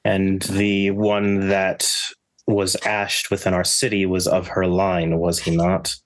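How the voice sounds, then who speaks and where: A man in a tabletop roleplaying game, speaking in a measured, dryly formal way. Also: deep voice, monotone. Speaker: player.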